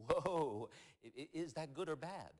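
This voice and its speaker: A man's voice: a little high, lively intonation, unhurried, a little mellow. An actor playing a flustered male television interviewer in a game cutscene, asking questions with a stammer. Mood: puzzled.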